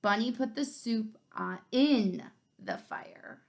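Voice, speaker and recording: A woman co-reading a children's story, narrating in an expressive, measured way. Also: a little high, gravelly. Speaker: co-reader of the story.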